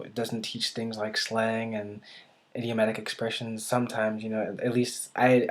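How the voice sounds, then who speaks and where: A young man from the States thinks aloud to his camera, pausing often and circling back on himself. His voice sits in a mid register and stays level, with little rise or fall, even as he searches for words. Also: medium pitch. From United States. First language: English. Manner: hesitant, many ums and restarts; thinking aloud, self-correcting. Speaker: language learner vlogger. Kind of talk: casual unscripted talk to camera.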